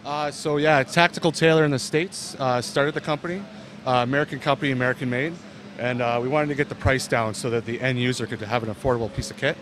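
A man in his thirties from Canada, talking fast and loosely about gear with lots of fillers. He speaks into a handheld mic at a busy indoor expo booth, with clear hall noise behind him. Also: mid-range voice. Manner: relaxed, loose, many fillers. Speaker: company representative. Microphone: handheld mic held by interviewer.

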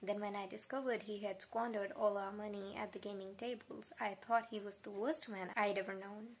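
A woman's voice: mid-range voice, little pitch movement, normal speed, slight background noise, natural voice. Woman reading as a wistful, reflective father. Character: reflective, forgiving father looking back on childhood. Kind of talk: dramatic novel reading.

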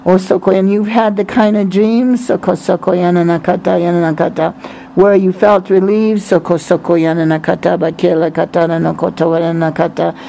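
A man's voice: sits high, level tone, a little fast, creaky, mellow. A man preaching a religious prophecy, breaking short phrases up with repetitive, incantatory chanting in tongues, over some background noise.

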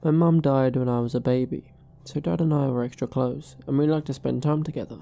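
A woman reading a scripted first-person horror story in a measured storytelling manner. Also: extremely low pitch, fairly even intonation, vocal fry, mellow.

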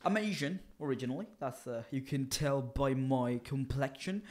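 A man's voice: medium pitch, lively intonation, normal speed. Joking young man co-hosting a podcast. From Italy. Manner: joking, self-mocking, sweary. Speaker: podcast co-host, comedian. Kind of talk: casual bantering podcast chat. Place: small indoor garage-style podcast studio. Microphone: close microphone on boom arm.

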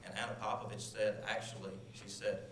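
A man's voice: fairly low pitch, level tone, a little fast. A man standing at a podium in a large council chamber, giving a formal address full of thanks and named acknowledgements. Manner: earnest, lists names and thanks. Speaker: public speaker at city council. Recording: roomy hall sound with noise.

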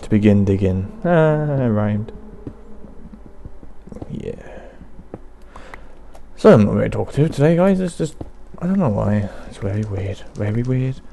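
A young man with a low, gravelly voice, narrating a video game at his own unhurried pace. He mumbles to himself and sounds like his throat is bothering him. A faint hiss sits under his dark, mellow tone. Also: expressive. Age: young adult. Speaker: gaming channel host. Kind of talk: casual let's play commentary.

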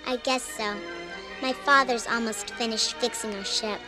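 A woman's voice: on the high side, fairly even intonation, unhurried, over music, lightly acted. A female performer playing a sweet, brave little girl in a storybook. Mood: sweet, earnest.

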